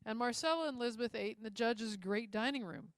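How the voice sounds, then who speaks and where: A woman reading a story aloud in an expressive storytelling style. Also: on the high side, fairly quick, clean tone. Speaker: story reader.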